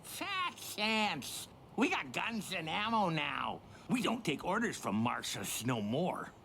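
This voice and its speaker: An actor voicing a defiant, mocking thief in a video game. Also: male voice, sits high, sing-song, takes it slowly, gravelly, pushed and bright, a little hiss or hum, exaggerated voice. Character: cocky male thief with new guns.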